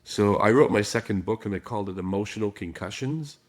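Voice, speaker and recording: A man with a raspy voice, a guest on a podcast, explaining his ideas calmly. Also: on the low side, fairly quick. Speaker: guest, trauma specialist. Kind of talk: casual podcast interview.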